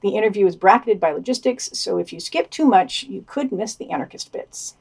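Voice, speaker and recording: A woman's voice: medium pitch, lively intonation, fairly quick, gravelly, a little mellow, breathy edge. A woman co-hosting a podcast, reading out a scripted news digest with commentary.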